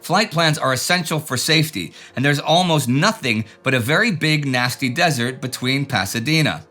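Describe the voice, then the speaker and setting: A man's voice: mid-range voice, animated, moderate pace, sharp tone, faint music behind. A man hosting a show, reading out viewer letters and comments with dry, joking asides. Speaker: show host.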